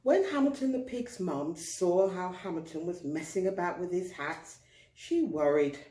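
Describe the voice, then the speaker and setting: A woman reading a picture book aloud to children, expressive and deliberate, drawing out words for emphasis. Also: lowish voice. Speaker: storyteller. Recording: roomy, echoing indoor sound.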